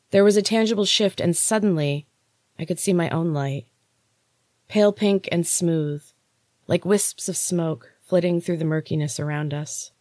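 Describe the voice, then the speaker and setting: A woman's voice: fairly low pitch, a little mellow, dry recording. Woman narrating a story, dry close sound. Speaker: author and podcast host. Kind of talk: storytelling narration of own novel.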